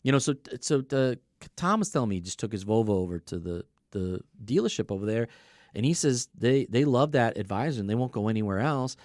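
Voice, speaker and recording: A man's voice: mid-range voice, expressive, a little fast, a little mellow, no room sound, clean studio sound. A man talking as a business coach in a casual podcast conversation, explaining his points and telling anecdotes in a conversational way. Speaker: car dealership service coach.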